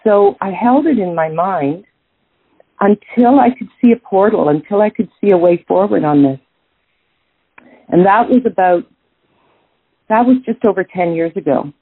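Canadian jazz singer lady, middle-aged, husky, lots of ums and restarts, phone-ish audio. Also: mid-range voice, expressive, normal speed, pauses often, no room sound. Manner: thoughtful, many restarts and fillers. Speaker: jazz singer, interview guest. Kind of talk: casual interview answers. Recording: tinny phone-like line.

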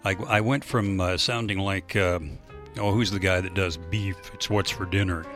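A man's voice: low voice, fairly even intonation, normal speed, fairly bright tone, faint music behind. A man working as a radio announcer, chatting casually with a dry, joking manner.

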